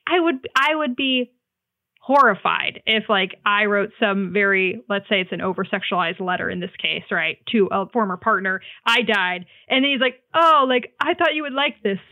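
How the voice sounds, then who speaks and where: A woman's voice: medium pitch, moderate pace, dry recording, narrow, tinny audio. A woman guest chatting casually on a podcast, informal and jokey in a self-deprecating way.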